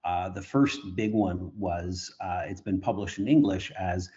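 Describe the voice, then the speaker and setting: A Canadian priest with a fairly low voice answers interview questions, often repeating words and stopping on uh while he thinks. His audio is dull and lo-fi, as if sent from a remote setup. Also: measured pace, mellow. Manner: repeats words, many uh fillers. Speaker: priest and exorcist, guest.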